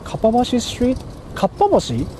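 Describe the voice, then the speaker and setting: A man's voice: extremely high pitch, huge pitch swings, normal speed, warm tone. A man with as his language, chatting casually and swearing in slang as he livestreams out in the city. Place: outdoors on busy city streets. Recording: noisy outdoor street with traffic. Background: cars and urban street noise.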